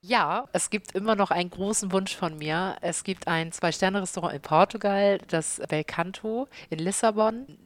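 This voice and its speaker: A woman in her thirties with a Hamburg accent, a chef and restaurant owner answering interview questions with lively enthusiasm. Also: fairly low pitch, no echo. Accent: Hamburg German. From Hamburg, Germany.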